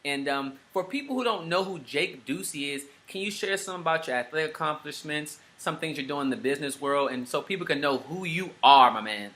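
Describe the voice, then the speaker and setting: A man's voice: on the high side, lively intonation, brisk, hardly any pauses, pushed and bright. A man hosting a podcast interview over a video call, with an upbeat, hyped-up way of introducing his guest. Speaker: podcast host.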